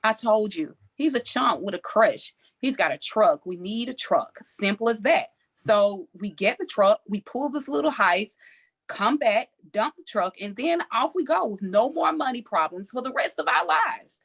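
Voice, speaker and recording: A woman playing a brash, foul-mouthed, scheming tough criminal at a script table read, blunt and sassy, with a gravelly voice. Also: on the high side, animated, moderate pace, a bit bright, exaggerated voice. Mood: cocky, scheming.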